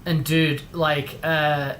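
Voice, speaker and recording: A man's voice: on the high side, little pitch movement, extremely slow, a bit bright, slight background noise. A man with an English accent hosting a livestream, chatting casually in a rambling, slangy way. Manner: rambling, heavy on slang like dude and bro. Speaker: livestream host.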